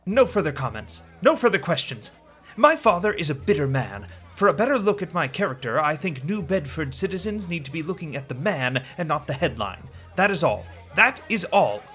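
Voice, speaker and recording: An actor playing a defiant, self-important disgraced heir in a radio drama, speaking with pompous theatricality. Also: male voice, a little high, very animated, moderate pace, a bit bright, soft background music. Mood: indignant. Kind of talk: scripted radio drama acting.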